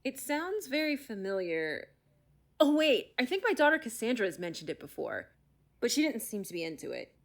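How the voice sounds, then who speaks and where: An actress playing a frustrated, incredulous middle-aged zoo doctor, telling her story emphatically with dramatic pauses in a podcast-style audio drama. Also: a little high, very animated, vocal fry. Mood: skeptical. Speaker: expert interviewee.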